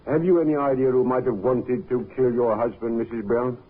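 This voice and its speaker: An actor playing a polite, methodical police inspector asking measured questions in a 1950s radio drama. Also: male voice, medium pitch, steady tone, close to an everyday voice. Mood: courteous, probing. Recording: muffled 1950s radio recording.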